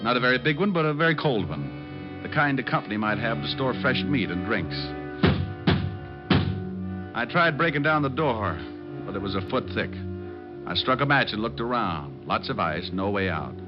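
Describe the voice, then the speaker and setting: An actor playing a cocky, wisecracking private detective in an old-time radio drama, with a dry, sardonic delivery. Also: male voice, mid-range voice, sing-song, normal speed, long pauses, close to an everyday voice. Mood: dry, wry. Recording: muffled old radio recording with music.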